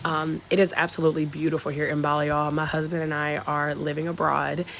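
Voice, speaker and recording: A woman's voice: low voice, flat delivery, brisk, fairly bright tone, a little hiss or hum. Chatty Southern woman on a live stream. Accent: Southern American. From North Carolina, USA. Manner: chatty, greets viewers, Southern slang. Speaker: travel vlogger live-streaming. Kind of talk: casual live-stream chat. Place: outdoor hotel patio.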